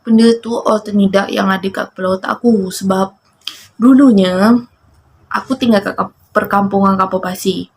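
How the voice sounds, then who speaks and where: A woman's voice: mid-range voice, fairly even intonation, moderate pace, darkish tone. A woman in her twenties with a Malaysian Malay accent, chatting casually to her viewers while rambling and getting distracted by her cat. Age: 20s. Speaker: vlogger. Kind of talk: casual chat to camera.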